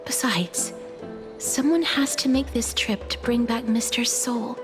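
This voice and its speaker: An actress playing a gentle but determined young woman who speaks in an earnest, measured way. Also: fairly high pitch, over music, close to an everyday voice. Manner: soft-spoken, earnest and measured. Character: gentle, resolute young woman on a perilous errand.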